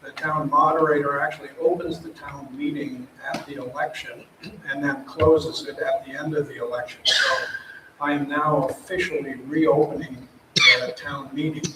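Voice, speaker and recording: A man's voice: a little high, level tone, moderate pace. A man acting as town moderator, speaking plainly and procedurally to a public meeting. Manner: plain, procedural, some fillers. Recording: echoey hall, distant mic, room noise.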